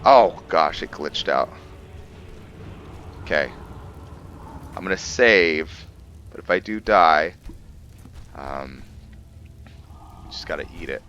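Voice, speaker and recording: A man giving casual gameplay commentary for a roleplay let's play, thinking aloud in a halting way. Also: rumbling low, lively intonation, a bit bright, lo-fi sound, a little hiss or hum.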